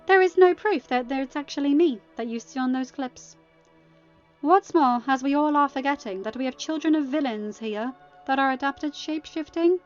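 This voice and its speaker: A woman's voice: sits high, moderate pace, dull audio, pitched above the performer's own voice, close to an everyday voice. A woman voicing a haughty, scheming older queen in an indignant, faux-sweet manner for a fan-fiction story reading. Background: music.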